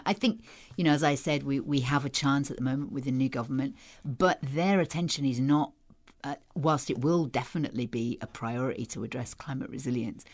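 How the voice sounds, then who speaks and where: A woman's voice: rumbling low, a little mellow. A woman from Yorkshire with a Yorkshire accent, talking earnestly as a guest on a radio talk show, often restarting her sentences. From Yorkshire, England. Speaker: studio guest.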